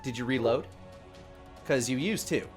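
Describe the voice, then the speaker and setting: A man's voice: mid-range voice, lively intonation, measured pace. A man with a mid-range voice serves as dungeon master of a tabletop game. He improvises narration and talks through rulings, with faint music underneath. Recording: music playing behind the voice. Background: background music.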